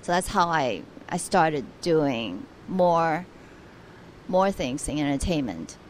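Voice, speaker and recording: A woman's voice: low voice, expressive, slow, a little hiss or hum. A young adult woman from Taiwan, a guest on a radio interview, speaking earnestly with frequent restarts.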